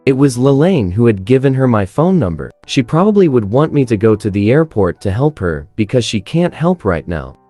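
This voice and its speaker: A man acting a cool, well-mannered young hero of an animated web story. His voice is mellow with lively pitch movement, dry over music. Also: moderate pace, few pauses, no room sound. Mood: guarded, questioning. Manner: cool, guarded. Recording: music behind the voice.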